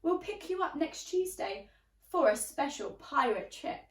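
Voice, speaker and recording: A woman's voice: very high voice, expressive, a little slow, far from the mic. A woman voicing a friendly young storybook pirate boy, earnest and confiding. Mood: earnest, hopeful. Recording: roomy, echoey, away from the mic.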